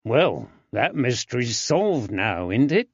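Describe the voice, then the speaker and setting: A man voicing a gruff, grey-browed older constable who talks bluntly and grumbles, in a dull, dry audiobook recording. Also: mid-range voice, sing-song, slow, no echo, exaggerated voice. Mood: grumbling.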